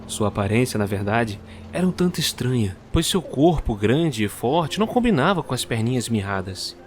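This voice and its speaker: Male narrator, scripted storytelling, measured, suspenseful, faint background noise. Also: mid-range voice, sing-song. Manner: measured, suspenseful, addresses the listener.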